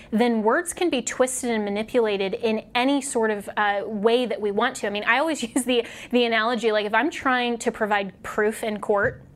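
A woman hosting a political talk show, articulate and earnest, talking in a conversational way and building her argument step by step. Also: a little high.

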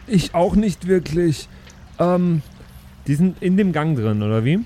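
A man's voice: fairly high pitch, lively intonation, measured pace, warm tone. A man improvising casually as a player in a tabletop role-playing game. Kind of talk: improvised in-character role play, casual chat.

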